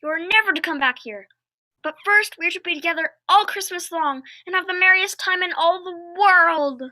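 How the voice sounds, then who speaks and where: A woman's voice: very high voice, moderate pace, bright, forceful tone, no echo, exaggerated voice. A woman playing a sweet, eager, affectionate little sister in a radio play, gushing and tender.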